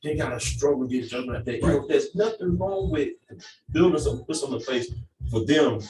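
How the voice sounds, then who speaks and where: A man's voice: medium pitch, lively intonation, fairly quick, a little mellow, recorded from a distance. A man hosting a casual chat in a cigar lounge, laid-back, repeating his words and leaning on fillers. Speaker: host. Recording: roomy echo, off-mic, music behind.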